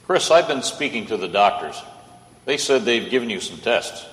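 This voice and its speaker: Casting: male actor as loyal, persuasive lawyer for radio play; mid-range male voice, lively inflection, echoey hall. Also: medium pitch, normal speed, lightly acted. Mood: concerned. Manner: earnest, persuasive. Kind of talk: old-time radio play acting. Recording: echoey hall with long reverb.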